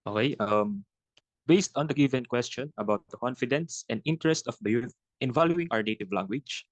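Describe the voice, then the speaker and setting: A young man with a Filipino accent reads a prepared answer in a steady, formal way. His pitch sits in the middle for a man, and the recording is dry and close. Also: a little fast, no echo, poor audio. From Philippines. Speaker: student panelist.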